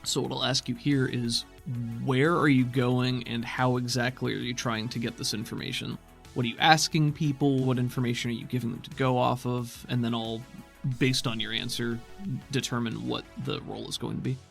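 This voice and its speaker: Gravelly man running a tabletop game. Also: mid-range voice, steady tone, normal speed, faint music behind. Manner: conversational, explanatory, casual swearing. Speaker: game master. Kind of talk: explaining rules and narrating a tabletop game.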